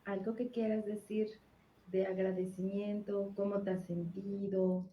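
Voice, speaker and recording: An echoey, slightly noisy recording of a woman interviewer. Her voice is soft-toned and mellow as she asks brief, gentle questions. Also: medium pitch, little pitch movement, a little slow. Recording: roomy, faintly noisy played-back video.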